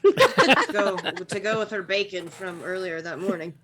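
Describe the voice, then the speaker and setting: A woman's voice: medium pitch, very animated, unhurried, crowd noise. Easygoing, joking woman player. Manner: easygoing, joking, laughs often. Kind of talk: casual chat.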